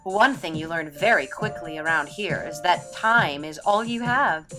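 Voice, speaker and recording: A woman playing a coy seductress over loud music. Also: on the low side, lively intonation. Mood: seductive, teasing. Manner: coy, teasing, unhurried. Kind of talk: audio drama acting.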